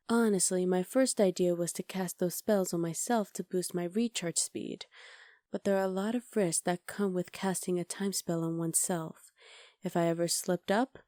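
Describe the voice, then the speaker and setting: A woman voicing a scheming, possessive witch in an ASMR roleplay, speaking softly and often half-whispering close to the mic. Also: on the low side, dry recording, close to an everyday voice. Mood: cool, menacing. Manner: soft, intimate, coolly calculating, often half-whispered. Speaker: voice actor.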